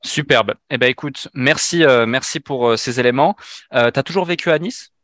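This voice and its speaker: A man hosts an interview podcast, asking long, roundabout questions full of fillers. His voice sits at a middle pitch. The recording is dry and close, with no room sound. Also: normal speed, a bit bright, no echo. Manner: wordy, hesitant with fillers. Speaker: podcast host.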